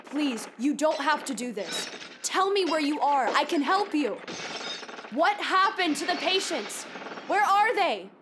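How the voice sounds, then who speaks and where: Woman playing a anxious pushy lady podcast host in an audio drama, pitch moves around a lot, bit of noise. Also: sing-song, unhurried. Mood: panicked, pleading. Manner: probing, urgent questioning. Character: female podcast host, insistent, anxious interviewer. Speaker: host interviewing a witness.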